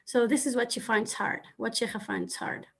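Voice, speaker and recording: Woman interpreter, slightly high voice, plain summary with some uhs. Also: huge pitch swings, moderate pace, a bit bright, breathy edge. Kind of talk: interpreting at a panel.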